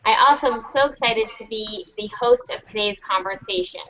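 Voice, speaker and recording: A woman's voice: mid-range voice, normal speed, bright, forceful tone, slight background noise, poor audio. A young American woman in her teens, enthusiastically hosting an online panel with a prepared introduction. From United States. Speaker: host.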